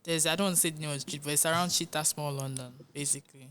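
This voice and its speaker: A young woman with a Nigerian accent talks through her life and music in a relaxed interview. Her voice sits low for a woman, yet she speaks with lively, earnest energy and breaks into easy laughter. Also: very fast. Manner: animated, laughs often, earnest. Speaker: musician guest. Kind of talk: casual interview talk.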